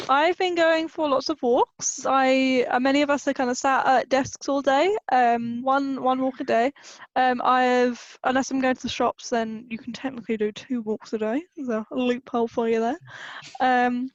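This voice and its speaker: British girl in her 20s, high voice, casual self-deprecating podcast chat, bit muffled lo-fi sound. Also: steady tone, measured pace, dry recording. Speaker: podcast co-host.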